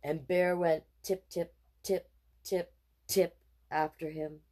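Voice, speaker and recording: A woman reading a children's picture book aloud in an expressive, playful way, with casual asides as storytime host. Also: on the low side. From Canada. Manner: expressive, deliberate, playful sound words. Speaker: storytime host and drag king performer.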